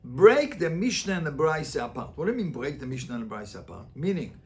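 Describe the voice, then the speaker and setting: A man's voice: on the high side, big pitch swings, quick pace, darkish tone, a little hiss or hum. A male rabbi teaching an interactive Talmud lesson, explaining emphatically and repeating phrases to make his point.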